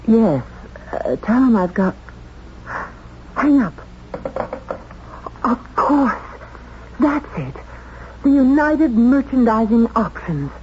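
An actress playing a shrewd, determined woman in a 1970s radio drama, with intense, deliberate delivery. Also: on the high side, sing-song. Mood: agitated, urgent. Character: determined, shrewd woman accused of murder, confronting the killer. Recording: muffled, noisy old radio drama tape.

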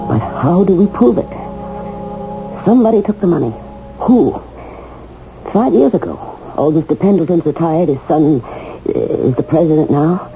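An actress playing a loving, careworn middle-aged wife with a halting, heartfelt delivery in a 1970s radio drama. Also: female voice, sits low, animated, gravelly, dark tone. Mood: worried, weary. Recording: dull vintage radio recording, music behind.

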